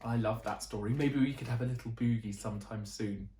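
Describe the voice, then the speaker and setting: A woman reading a children's story aloud in an expressive, deliberate storytelling style. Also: rumbling low, a little hiss or hum. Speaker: teacher reading a bedtime story. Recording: echoey room.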